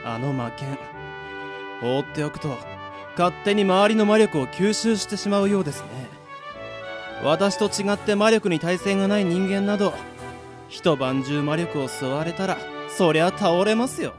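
Proud Cerberus beast man acting, composed, politely sardonic, lighter high register, radio drama with soft music underneath. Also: unhurried. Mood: calm, dry. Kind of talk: anime-style amateur radio drama acting.

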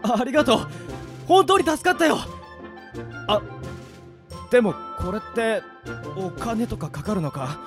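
An actor playing a flustered young office worker in a radio drama, blunt and casual, muttering and exclaiming. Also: male voice, very high-pitched, huge pitch swings, measured pace, pauses often, music in the background, heavily acted. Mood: amazed, excited. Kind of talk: Japanese radio drama acting.

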